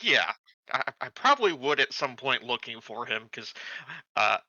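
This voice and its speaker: A man with a very bright, sharp voice talks casually as a player at a tabletop game. His pitch moves in a lively way, and he hesitates and repeats words. The sound is dry. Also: normal speed, no echo, highs cut off.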